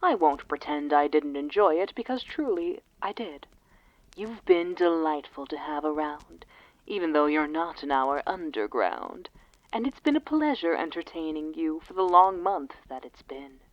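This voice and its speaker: A woman's voice: sits low, lively intonation, unhurried, no room sound. Woman playing a genteel theatrical poet, muffled tape sound. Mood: wistful, fond. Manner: genteel, theatrical, speaks in rhyme. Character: theatrical rhyming poet bidding listeners a fond farewell. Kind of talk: scripted audio drama monologue.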